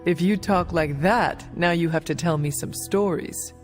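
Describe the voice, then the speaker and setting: An actress playing a poised, curious warrior woman in a video game, speaking in a formal, measured way. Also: fairly low pitch, faint music behind, natural voice. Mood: composed, curious. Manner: formal, measured, few contractions. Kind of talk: video game cutscene dialogue.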